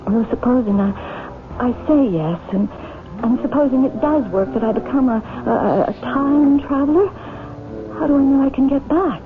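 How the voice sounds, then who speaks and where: An actress playing a troubled married woman haunted by guilt, speaking hesitantly and haltingly in old-time radio drama style. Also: high-pitched, expressive. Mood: hesitant, despairing. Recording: noisy, tinny old radio drama recording.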